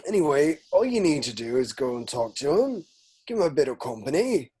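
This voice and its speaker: A man playing a gruff, soft-hearted half-giant gamekeeper in a script read, folksy and protective. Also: a little high, big pitch swings, a little fast. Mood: fond, coaxing. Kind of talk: table read of a film script.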